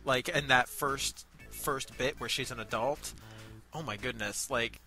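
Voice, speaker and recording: A man with a bright, forward voice talks through a casual review as co-host. He is effusive and gushing, quick to laugh. Faint music plays behind him. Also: mid-range voice. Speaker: co-host of an amateur voice acting review show. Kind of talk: casual, enthusiastic review chat.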